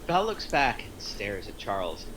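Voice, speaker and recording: Bright-voiced man narrating, faint noise. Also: on the low side, big pitch swings, unhurried. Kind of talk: reading stage directions at a table read.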